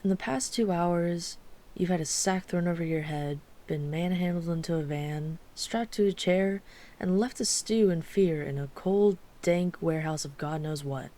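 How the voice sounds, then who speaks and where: A woman's voice: fairly low pitch, normal speed, warm tone, natural voice. A woman playing a wry, chatty, flirtatious mafia boss with an apologetic streak in an ASMR roleplay. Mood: admiring, flirtatious. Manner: wry, exasperated, chatty, teasing. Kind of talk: ASMR roleplay audio drama.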